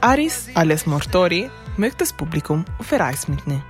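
Narrator, mid-pitched voice, scripted reading, faint music behind. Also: sing-song, fairly quick.

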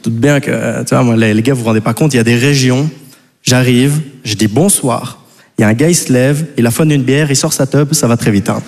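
A man from Belgium with a Belgian French accent doing a live stand-up routine. He rambles casually and keeps turning to the audience with quick asides. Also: medium pitch, darkish tone. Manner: rambling and chatty, full of "tu vois" and "les gars" asides to the audience. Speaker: stand-up comedian. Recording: long hall reverb from a live stage.

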